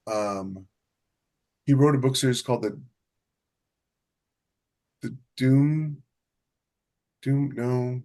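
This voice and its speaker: A male podcast co-host with an average-pitched voice. He chats casually and enthusiastically, leaning hard on his points and circling back to repeat phrases. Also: very slow, long pauses, warm tone. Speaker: podcast co-host and science fiction author. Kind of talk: casual chat.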